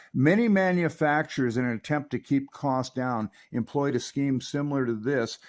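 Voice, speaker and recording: A man from Southern California walks through a vintage radio at his workbench, eager and full of operator lingo. His voice sits at a middling pitch. He sounds like a seasoned hobby reviewer. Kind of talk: hobby tech review and on-air radio chat.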